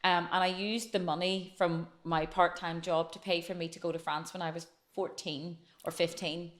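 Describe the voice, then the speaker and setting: A woman's voice: lowish voice, steady tone, fairly bright tone. Chatty woman in her forties. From Northern Ireland. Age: late 40s. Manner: chatty, fast-flowing storytelling. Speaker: interview guest. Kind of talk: casual podcast interview.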